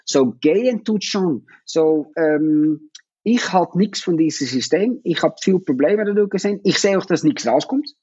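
A Dutch-accented man in his fifties with a fairly high, husky voice, talking casually and stopping often to restart his sentences. The sound is dry and a little dull, like a lo-fi recording. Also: animated, a little slow, mellow, dry recording. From Netherlands. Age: 50s. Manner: hesitant, many fillers and restarts. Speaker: guest, parent of athletes. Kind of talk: casual conversation.

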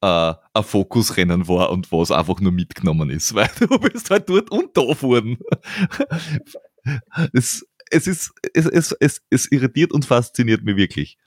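A man's voice: lowish voice, animated, moderate pace, dry recording, clean studio sound. A man hosting a podcast, chatting casually with many stammered restarts and laughs. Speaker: podcast host. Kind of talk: casual interview chat.

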